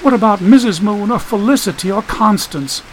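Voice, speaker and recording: Man voicing a high, frightened, pleading young woman. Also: expressive, normal speed, slight background noise. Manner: plaintive, polite. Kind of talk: audiobook character voice.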